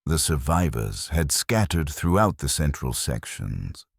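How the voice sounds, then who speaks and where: A man narrating a dark science fiction horror story in the first person, in a grave, measured storytelling manner. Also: rumbling low, sing-song, gravelly, husky, dry recording, clean studio sound.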